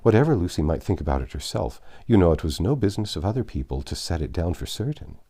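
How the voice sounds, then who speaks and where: A man voicing a gossipy, excitable, vulgar young Regency woman in a storybook reading, chattering on in run-on sentences. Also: sits low, fast talker, husky, voice pushed down, exaggerated voice. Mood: indignant gossiping.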